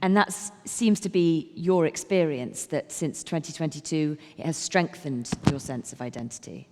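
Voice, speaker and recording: Woman moderator, measured, low pitch, reverberant hall. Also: darkish tone, slight background noise. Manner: measured, reads quotes aloud. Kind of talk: moderating a panel discussion.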